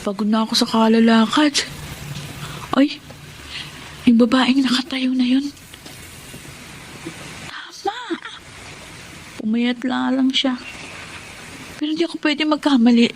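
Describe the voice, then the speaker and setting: An actress playing a friendly, concerned older cousin in a radio drama, chatty and exclaiming. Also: female voice, high-pitched, steady tone, takes it slowly, pauses often, a little hiss or hum, rough recording. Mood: musing.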